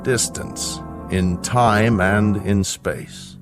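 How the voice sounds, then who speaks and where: An actor playing a measured, grave older scientist in a radio drama, speaking precisely and formally with a fatherly authority. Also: fairly low pitch, steady tone, over music. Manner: precise, deliberate, formal, explanatory. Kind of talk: radio drama acting, deliberate dialogue.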